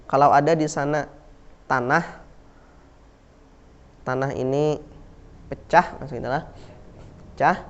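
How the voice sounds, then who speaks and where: A man's voice: mid-range voice, steady tone, slow pace, long pauses. A man with an Indonesian accent, teaching a class on Islamic history, who repeats key words and asks and answers his own questions, with frequent fillers like "nah". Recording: dull lo-fi recording.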